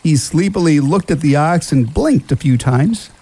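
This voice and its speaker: A man's voice: on the high side, expressive, moderate pace, vocal fry, dark tone, husky, no room sound. A man narrating a scripted family radio drama in a storybook style.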